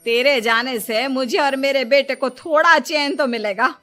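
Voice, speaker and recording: Quarrelsome older cartoon mother, played by a woman: bright, forceful, self-pitying scold. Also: high-pitched, a little slow, faint music behind. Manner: scolding, melodramatic. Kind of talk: Hindi cartoon dialogue.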